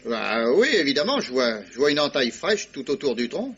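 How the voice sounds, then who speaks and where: A gruff, husky police inspector. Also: male voice, mid-range voice, very animated, fairly quick, a bit bright, heavily acted. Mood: incredulous. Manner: gruff, incredulous, exclamatory. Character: skeptical, slow-witted male police inspector. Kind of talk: 1960s French radio drama acting. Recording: dull old radio broadcast recording.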